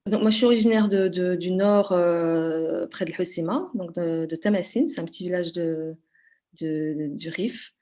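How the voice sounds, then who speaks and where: A woman's voice: fairly low pitch, normal speed, warm tone. A woman from Belgium, a podcast guest, talking in a hesitant conversational way with many fillers and restarts. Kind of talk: conversational interview.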